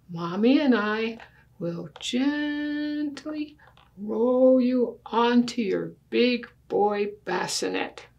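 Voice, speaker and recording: A woman's voice: mid-range voice, gravelly. An older woman voicing a bossy, precocious little girl from a storybook who persuades her daddy in a pompous, mock-reasonable way.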